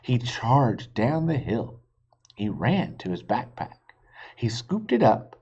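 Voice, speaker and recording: A man's voice: medium pitch, big pitch swings, takes it slowly, vocal fry, darkish tone, breathy edge. A man reading a children's storybook aloud expressively, like a teacher, on a slightly muffled recording. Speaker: reader, teacher.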